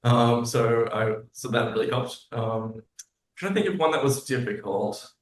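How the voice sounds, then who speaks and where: A man's voice: mid-range voice, moderate pace. A man from Australia speaking as an interview guest, casual and reflective, hesitantly searching for his words. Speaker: composer and lyricist, interview guest. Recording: roomy echo on the voice.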